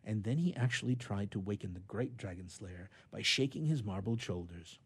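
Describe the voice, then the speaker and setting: A man reading a children's story aloud in an expressive storytelling style. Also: on the low side, moderate pace, slightly rough.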